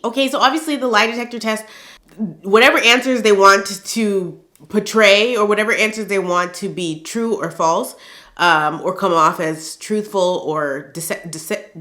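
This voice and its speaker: Nasal, stuffed-up woman dishing gossip. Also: fairly low pitch. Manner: animated, laughing, addresses viewers as girl. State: stuffy nose from the flu. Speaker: gossip channel host. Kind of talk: casual celebrity gossip chat.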